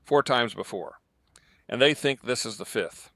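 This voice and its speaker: A man playing a careful, earnest correspondent in a radio news drama, speaking in a measured way. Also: mid-range voice, pushed and bright, dry recording.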